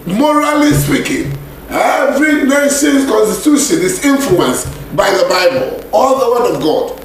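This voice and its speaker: A man with a Ghanaian accent preaching a radio sermon, repeating scripture lines in a slow, intoning way. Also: very high-pitched, expressive. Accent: Ghanaian English. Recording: echoey radio broadcast with faint hiss.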